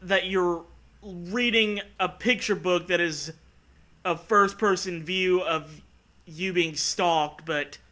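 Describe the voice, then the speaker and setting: A man's voice: high-pitched, slow pace, sharp tone. A man giving casual comedic commentary, mocking and sarcastic, with plenty of swearing. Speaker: co-host riffing on a story.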